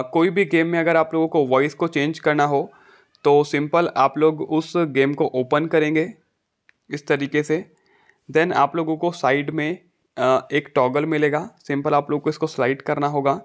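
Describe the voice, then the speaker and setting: A man talking through a casual step-by-step phone tutorial as the host. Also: on the high side.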